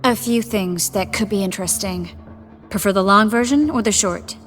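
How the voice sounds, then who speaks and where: An actress playing an earnest, caring but reproachful sorceress in a video game, speaking in a measured way. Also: female voice, medium pitch, faint music behind, lightly acted. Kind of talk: dramatic video game acting.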